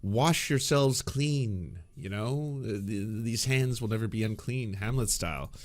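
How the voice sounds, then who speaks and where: A man's voice: on the low side, unhurried. A man hosting a sarcastic reaction commentary. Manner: sarcastic, mocking. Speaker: commentary host.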